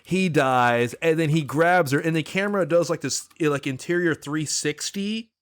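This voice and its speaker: A man speaking as a podcast guest, enthusiastic and halting, with many fillers. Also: a little high, smooth. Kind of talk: casual chat about horror films.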